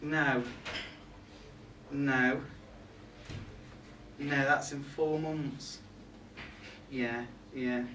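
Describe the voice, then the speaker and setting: A man's voice: medium pitch, steady tone, very slow, long pauses, sharp tone, distant mic. A young man playing a catty, resentful reindeer in a comic monologue, indignant and gossipy, with arch asides to a live audience. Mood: chatty, arch. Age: young adult. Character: catty, resentful male reindeer grumbling about Santa's crew. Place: small pub room with a live audience. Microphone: far camera. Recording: echoey room from afar, background noise.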